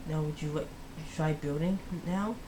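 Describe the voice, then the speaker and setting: A storybook reader voicing a caring brain character who helps gently, reassuring and unhurried. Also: mid-range voice, background noise, lightly acted. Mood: encouraging.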